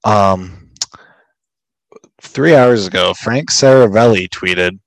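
A man's voice: fairly low pitch, slow pace, no echo, low-quality recording. A man co-hosting a casual sports chat while losing his voice, reading posts aloud and laughing often. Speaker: podcast co-host.

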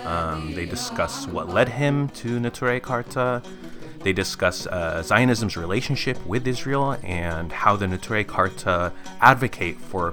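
A man giving a conversational podcast introduction as the host. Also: deep voice.

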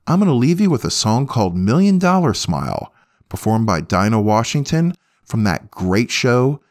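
A man's voice: on the low side, gravelly. A man hosting a music podcast in a casual, folksy, self-deprecating way. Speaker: podcast host.

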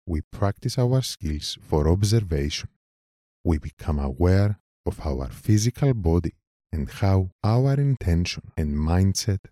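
A man leading a guided meditation in a calm, measured manner, leaving long pauses between short phrases. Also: sits low, dry recording, studio quality. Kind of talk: slow guided meditation narration.

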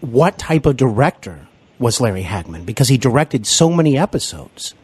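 A man's voice: medium pitch, sing-song, normal speed, darkish tone, slightly rough, dry recording. A man hosting a conversational interview, effusive and deferential, often feeling his way through his phrasing. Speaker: podcast host and interviewer.

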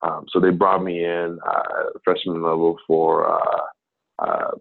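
A deep, husky man in his thirties, from Ghana, talks through a business-consulting interview in a loose, rambling way, restarting sentences and leaning on fillers. His voice comes through a thin, phone-like line that strips the fullness from it. The talk stays casual and conversational. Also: level tone, a little slow.

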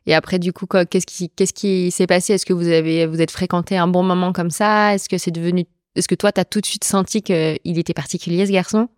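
A woman's voice: fairly low pitch, steady tone, a little fast, few pauses, darkish tone, no echo, studio quality. A woman hosting a podcast interview in an informal, chatty conversational style. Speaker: podcast host.

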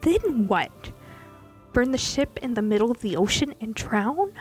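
A woman voicing a stern, foul-mouthed male pirate enforcer who curses and shouts threats in an audiobook-style story reading. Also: fairly high pitch, sing-song, a little slow, soft background music, heavily acted. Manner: gruff, cursing, shouting threats.

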